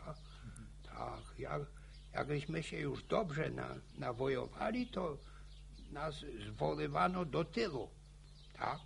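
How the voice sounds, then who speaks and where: An actor playing an old village grandfather in a radio play, spinning boastful war yarns with a gravelly, stammering, chuckling voice. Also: a little high, animated, takes it slowly, slight background noise, full character voice. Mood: boastful reminiscing. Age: older. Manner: chuckling folksy yarn-spinning. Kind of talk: radio drama acting.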